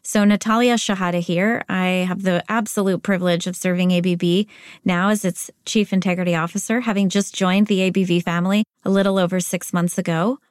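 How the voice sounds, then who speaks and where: A middle-aged woman from Spain with an American accent, answering interview questions as a corporate executive in a measured, deliberate way. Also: on the low side, steady tone, dry recording, clean studio sound. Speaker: corporate chief integrity officer.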